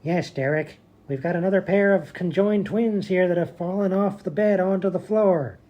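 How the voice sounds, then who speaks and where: A woman as a low, mellow, deadpan doctor. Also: normal speed, natural voice. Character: brusque, absurdly matter-of-fact hospital doctor. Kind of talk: radio comedy acting.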